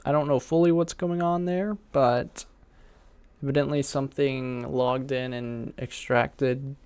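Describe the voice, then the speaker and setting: Casual man, mid-pitched, faint noise. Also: steady tone, a little slow, darkish tone, clear, resonant tone, dry recording. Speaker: reaction video host. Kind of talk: casual reaction commentary.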